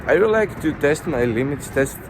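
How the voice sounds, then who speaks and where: A man's voice: on the high side, lively intonation, fairly quick, background noise. A Greek man in his twenties with a Greek accent, chatting casually in a vlog while walking along a snowy street. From Greece. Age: 20s. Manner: chatty, rambling with fillers. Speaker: vlogger.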